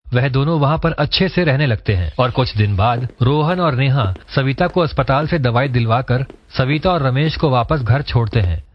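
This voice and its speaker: A man's voice: mid-range voice, moderate pace, lo-fi sound. A man narrating a scripted cartoon story as its storyteller.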